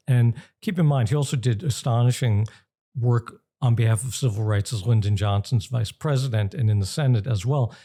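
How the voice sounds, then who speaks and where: An older American man speaks in a very mellow, dark voice at an average pitch. He explains like a professor being interviewed, deliberate and emphatic, often pausing in the middle of a phrase. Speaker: interview guest, journalism professor and author.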